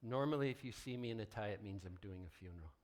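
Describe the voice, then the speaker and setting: A man's voice: on the low side, fast, darkish tone, slight background noise. A man preaching an informal sermon as a pastor, conversational and folksy with wry asides. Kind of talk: informal sermon, partly read from notes.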